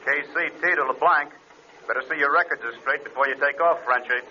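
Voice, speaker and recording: An actor playing a no-nonsense airfield radio dispatcher, clipped and businesslike, in old-time radio drama style. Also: male voice, medium pitch, brisk, pushed and bright. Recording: muffled, hissy old radio recording.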